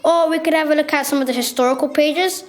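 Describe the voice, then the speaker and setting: A woman's voice: high voice, fairly even intonation, fast talker, natural voice. A schoolgirl playing a flustered guide to a magical phone world in a children's radio play. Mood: helpful, polite. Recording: music playing behind the voice.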